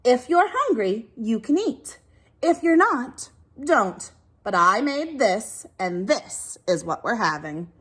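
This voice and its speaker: A determined little storybook girl, voiced by a woman reader, innocent but firm. Her voice is fairly high and lightly husky, with a lively, matter-of-fact delivery. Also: takes it slowly, exaggerated voice.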